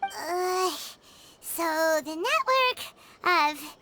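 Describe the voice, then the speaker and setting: A woman voicing a tiny, childlike fairy companion in a video game, squeaky, naive and flustered, speaking simply and hesitantly. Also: lively intonation, very slow, very noisy, exaggerated voice. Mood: confused. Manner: hesitant, simple, refers to herself by name.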